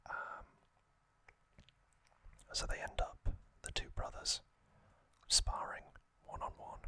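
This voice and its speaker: A man whispering an unhurried ASMR recap, with soft pauses and frequent ums. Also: no echo. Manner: unvoiced whisper, slow and relaxed with frequent ums. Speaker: ASMR channel host.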